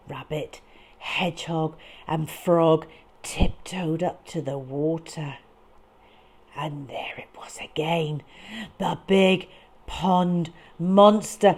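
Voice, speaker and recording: A woman reading a picture book to young children in a dramatic, expressive way with deliberate phrasing. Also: sits low. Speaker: children's storyteller.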